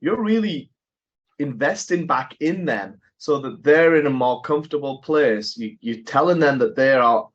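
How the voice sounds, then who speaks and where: A man hosting an interview, talking in an animated, conversational way and thinking aloud. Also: medium pitch. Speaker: podcast host. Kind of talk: casual interview chat. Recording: dull lo-fi audio.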